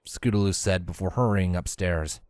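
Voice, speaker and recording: A man's voice: low-pitched, moderate pace, a little mellow, smooth, no room sound, clean studio sound. A man reading scripted story narration as the narrator of a fan fiction tale.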